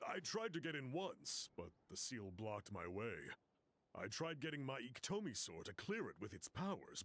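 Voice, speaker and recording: Talkative young-hearted guide, video game, husky, animated intonation, casual storytelling. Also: male voice, on the low side, full character voice. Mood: resigned. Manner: casual, explanatory, chatty.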